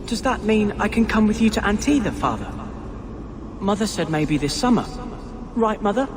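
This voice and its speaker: A naive young male dreamer in a fantasy game, pleading and excitable. The voice is high and swings widely, over noisy ambience. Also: normal speed. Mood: eager, hopeful. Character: eager, naive youth longing for his father.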